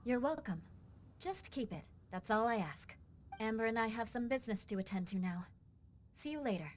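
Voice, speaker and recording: A cool young noblewoman, played by an actress, speaks in a slightly high, composed voice. She is brusque yet kind, in video game style, with clear noise on the recording. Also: animated, moderate pace, natural voice.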